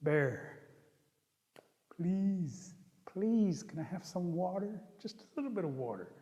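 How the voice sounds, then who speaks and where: A man voicing a small, rude, impatient rabbit in an oral folktale, whining and excitable. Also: a little high, moderate pace, creaky. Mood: pleading. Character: small cheeky impatient male rabbit trickster. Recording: long hall reverb.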